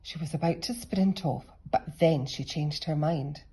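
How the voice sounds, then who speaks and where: Low-voiced, husky woman reading a storybook. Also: unhurried, dark tone, rough recording. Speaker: storybook reader. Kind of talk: children's story narration.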